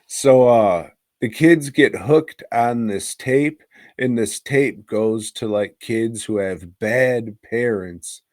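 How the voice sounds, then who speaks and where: A man's voice: mid-range voice, extremely slow, a little mellow, dry recording, studio quality. A man hosting a casual movie review podcast, talking in a crude, slangy, rambling way with plenty of swearing.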